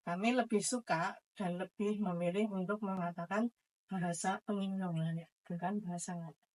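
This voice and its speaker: A woman teacher answers interview questions. Her pitch is average, and she explains things step by step, pausing and correcting herself as she goes. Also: fairly even intonation, darkish tone, low-quality recording.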